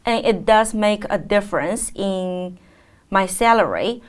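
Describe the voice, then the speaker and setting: A woman in her thirties, raised in China, speaks English as a second language in a TV interview. She answers at a middle pitch, in a thoughtful and unhurried way, stopping often to gather her words. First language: Chinese. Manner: thoughtful, many fillers and pauses. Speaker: guest, teacher.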